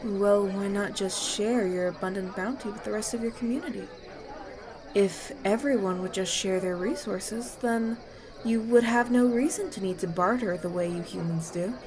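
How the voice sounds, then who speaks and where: A woman's voice: medium pitch, moderate pace, lightly acted. A woman playing an idealistic, naive elf in a fantasy audio roleplay, earnest and questioning. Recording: banquet crowd chatter behind.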